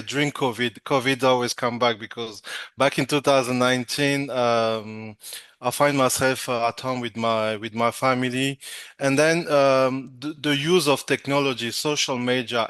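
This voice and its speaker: A man from Congo, a native French speaker, talks over a video call in a smooth, clear voice. He pauses often, filling gaps with "uh" and restarting phrases. The sound is dry and close. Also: mid-range voice, fairly bright tone, no room sound. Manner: hesitant, many fillers and restarts. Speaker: webinar attendee asking a question. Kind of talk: casual online call chat.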